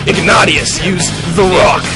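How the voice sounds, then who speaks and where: A man's voice: high voice, a little slow, creaky. A young man doing a comic dramatic reading of fan fiction aloud, often breaking into giggles. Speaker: reader. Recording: loud guitar music behind.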